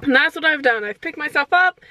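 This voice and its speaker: A young woman talking casually and candidly in a personal daily vlog, rambling a little and hoarse from a long day of talking. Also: sits high, expressive, fairly quick, pushed and bright. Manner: rambling, candid, self-deprecating. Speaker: vlogger.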